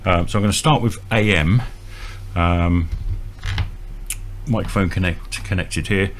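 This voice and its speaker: A man's voice: deep voice, measured pace. A man presenting an informal, hands-on equipment demonstration, explaining step by step with a hesitant delivery. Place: indoors at a small equipment bench. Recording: audible electrical hum and noise.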